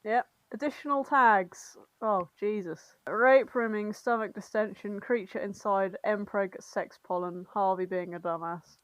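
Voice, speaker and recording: A woman's voice: mid-range voice, measured pace, a bit bright, no echo, rough recording. Woman, giggly and dryly recorded. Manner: giggly, irreverent, rambling. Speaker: podcast co-host reading and summarising fan fiction. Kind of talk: casual comedic storytelling chat.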